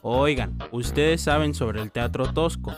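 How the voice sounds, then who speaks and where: Male comic storyteller, mid-pitched, casual and rambling, guitar music clearly behind him. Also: measured pace.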